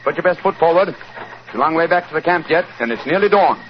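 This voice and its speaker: An actor playing an assured, urbane English gentleman detective with a British accent, in 1940s radio drama style. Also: on the high side, animated, fairly quick, close to an everyday voice. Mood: genial. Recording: muffled, noisy 1940s radio recording.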